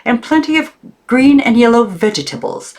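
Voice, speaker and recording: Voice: medium pitch, very animated, moderate pace, voice pushed up. A woman voicing a strict, prim elderly aunt in a storybook, enunciating precisely. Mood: strict, lecturing. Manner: precise, over-enunciated, stern.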